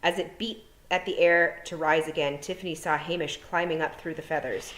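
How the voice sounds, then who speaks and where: Low-voiced woman reading a storybook aloud. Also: steady tone, moderate pace, fairly bright tone. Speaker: storytime reader, teacher. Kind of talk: storybook narration read aloud. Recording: roomy echo.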